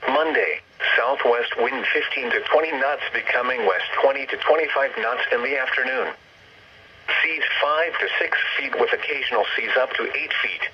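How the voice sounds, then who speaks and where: A man's voice: mid-range voice, moderate pace. A synthetic male voice reading a scripted weather bulletin over the radio in a formal, impersonal, list-like way. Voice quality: synthetic text-to-speech voice. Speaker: automated weather radio announcer. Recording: dull lo-fi radio broadcast, faint noise.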